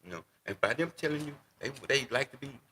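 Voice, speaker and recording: A man's voice: mid-range voice, lively intonation, quick pace, sharp tone, a little hiss or hum. A man in his sixties, a guest in a casual interview, talking unhurriedly and repeating words as he thinks. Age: 60s.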